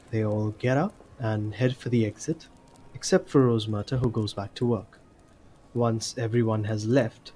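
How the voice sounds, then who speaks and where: A man narrates an audio play from a script. His voice sits at a middling pitch, with a faint noise behind it. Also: a little mellow, low-quality recording.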